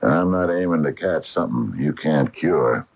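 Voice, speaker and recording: An actor playing a gruff, folksy American hardware store owner, wary and emphatic, in a radio drama. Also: male voice, very deep voice, lively intonation, moderate pace, full character voice. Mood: amused, dismissive. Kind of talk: 1980 radio drama acting. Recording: dull lo-fi 1980 radio broadcast.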